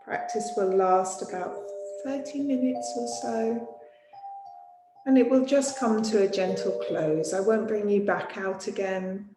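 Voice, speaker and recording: A woman teaching yoga nidra, guiding a sleep meditation in a gentle, soothing, unhurried manner with long pauses. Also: medium pitch. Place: small studio. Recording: roomy echo, music behind voice.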